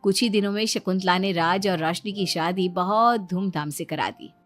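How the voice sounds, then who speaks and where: A woman's voice: lowish voice, normal speed, soft background music. A woman telling a scripted moral story as its narrator.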